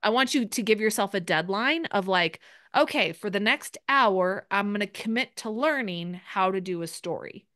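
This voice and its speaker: A woman's voice: medium pitch, animated, normal speed, a bit bright, no room sound, studio quality. A woman coaching people in a live group session, speaking in a direct and encouraging way. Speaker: business coach.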